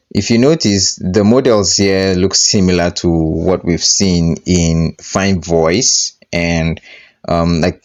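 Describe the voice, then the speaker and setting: A man presenting a casual software tutorial, with somewhat halting, hesitant phrasing. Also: sits low, highs cut off.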